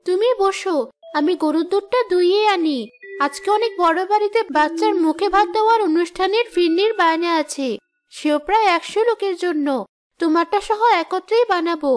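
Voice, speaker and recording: A woman's voice: very high-pitched, brisk. A woman voicing a kind, hardworking young village girl in a cartoon, earnest and sweet. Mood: helpful. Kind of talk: children's cartoon voice acting.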